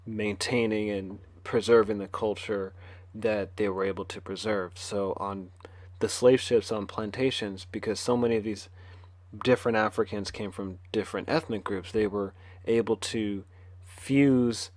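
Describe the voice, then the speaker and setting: A man speaking in an informal lecture style, halting and often pausing mid-phrase. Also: on the low side, fairly even intonation, darkish tone, no echo. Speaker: conference speaker.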